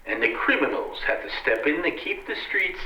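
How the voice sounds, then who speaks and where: A man playing a self-important crook turned mayor, speaking deliberately in an old-time radio drama. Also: fairly high pitch, very animated. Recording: dull, distant, echoey recording.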